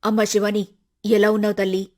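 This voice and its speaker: A woman voicing an elderly mother-in-law in a cartoon story, soft and pleading in a motherly way. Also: mid-range voice, completely flat delivery, a little fast, pitched below the performer's own voice, close to an everyday voice. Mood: tender. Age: older.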